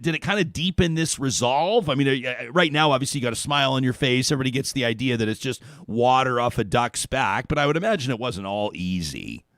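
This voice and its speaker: Rambling talk host with a dry sound. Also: male voice, medium pitch, dry recording. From Canada. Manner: searching, rambling questions with many fillers. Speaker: radio talk show host. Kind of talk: interview conversation.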